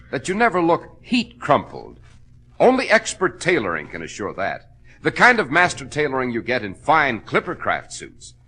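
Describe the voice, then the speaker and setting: A man's voice: medium pitch, pushed and bright, breathy edge. An American man announcing and hosting a vintage radio show with emphatic, polished salesmanship in a 1940s style.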